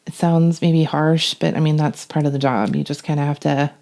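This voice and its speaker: A woman's voice: low voice, fairly even intonation, normal speed, warm tone. A middle-aged woman speaking plainly and matter-of-factly in a conversational interview as a police dispatcher describing her work.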